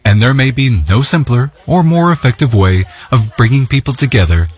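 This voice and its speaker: Lively male news reporter. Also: medium pitch, normal speed, husky. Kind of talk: news feature narration and street interviews. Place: outdoor festival grounds.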